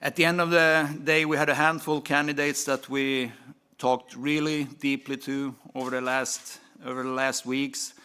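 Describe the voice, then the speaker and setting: A man making a steady statement at a press conference about hiring a new coach, pausing with fillers. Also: mid-range voice, clean tone.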